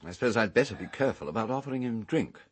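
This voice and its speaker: An actor playing an urbane, haughty English castle owner in a radio drama, with a husky voice and pompous, measured formal speech. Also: male voice, mid-range voice, expressive. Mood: dry, speculative.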